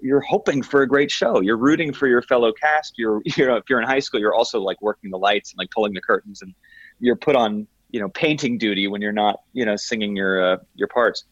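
A man with a big baritone voice chatting as a podcast guest, telling rambling, self-deprecating stories with many restarts. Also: brisk, no room sound, highs cut off. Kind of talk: casual podcast chat.

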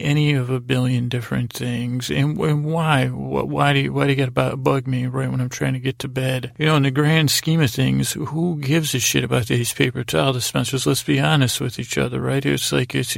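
A man hosting a bedtime podcast with a gravelly, creaky voice, rambling in a halting, repetitive, folksy and digressive monologue. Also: mid-range voice, level tone, dry recording, studio quality. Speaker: podcast host.

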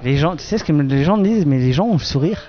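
A man's voice: a little high, expressive, rapid-fire, muffled recording, a faint crowd. A man roaming an outdoor wine festival, playfully teasing people as he interviews them. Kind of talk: casual street interviews.

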